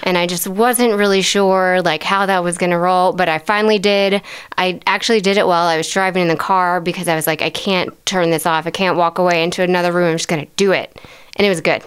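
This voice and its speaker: Friendly woman from tennessee co-hosting, smooth clear voice, lots of ums. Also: fairly low pitch, steady tone, fast talker. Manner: friendly, uses "uh" and "um" often. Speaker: podcast co-host. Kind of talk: casual chat.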